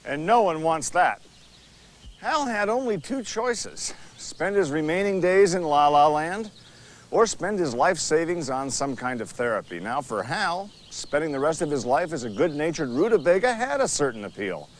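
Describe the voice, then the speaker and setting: An actor narrating a dark comedy as a wry, mocking storyteller in a scripted style. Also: male voice, fairly high pitch, big pitch swings, normal speed, a little hiss or hum.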